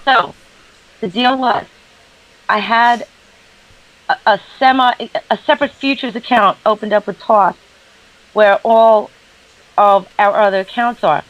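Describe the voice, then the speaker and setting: A woman's voice: a little high, steady tone, unhurried, pauses often, rough recording. A woman with a New York accent, a guest trader chatting casually on a call, recorded through her laptop's built-in mic.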